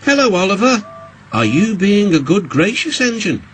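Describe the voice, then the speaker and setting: A man's voice: high-pitched, big pitch swings, normal speed, fairly bright tone, voice pushed up. A man voicing a sensible, teasing male tank engine in a children's storybook. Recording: music behind the voice.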